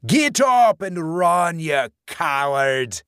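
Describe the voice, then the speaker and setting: A man's voice: fairly high pitch, very animated, extremely slow, gravelly, dry recording, clean studio sound, pitched above the performer's own voice, heavily acted. A man voicing the cruel ghost of an old-time policeman who taunts and beats his victim. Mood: taunting. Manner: taunting, relishing violence.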